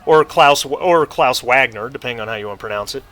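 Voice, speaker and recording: A man's voice: medium pitch, animated, fast, noisy background. A man hosting a tabletop roleplaying game as game master, talking casually in an encouraging, explanatory way.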